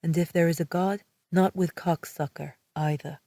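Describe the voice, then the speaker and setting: A woman's voice: on the low side, fairly even intonation, normal speed, dark tone, dry recording, far from the mic. A woman voicing a brusque young man who is exasperated, with a curt, sarcastic way of talking. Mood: gentle.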